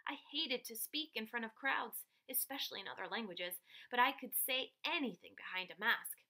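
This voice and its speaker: A woman reading a picture book aloud to children like a teacher, with expressive, dramatic emphasis on key phrases. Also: fairly high pitch, normal speed.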